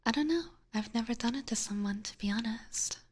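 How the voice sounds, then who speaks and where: A woman doing casual ASMR chat in a soft, breathy whisper close to a binaural mic, informal and hesitant, chatting to viewers. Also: a little high, brisk. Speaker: ASMR streamer and host. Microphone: close binaural ear microphone.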